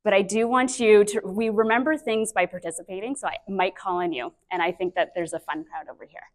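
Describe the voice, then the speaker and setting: A woman in her thirties, a speech-language pathologist giving a lively, chatty conference talk on a microphone, with many fillers. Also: mid-range voice, a little fast, low-quality recording. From Canada. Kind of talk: conference presentation. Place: conference breakout room. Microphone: handheld or stand mic with feedback.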